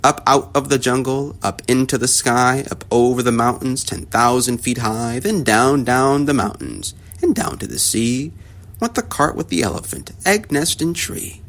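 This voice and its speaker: A man reading a rhyming children's story aloud with expressive, rhythmic storytelling. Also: mid-range voice, moderate pace.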